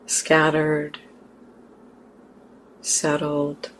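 A woman leading a guided meditation as a teacher, speaking gently and leaving long pauses between short phrases. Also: deep voice, completely flat delivery, slight background noise, low-quality recording.

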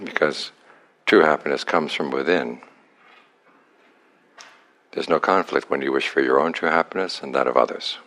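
A man leading a guided meditation as a teacher, speaking calmly and unhurriedly in short phrases with long pauses. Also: extremely low pitch.

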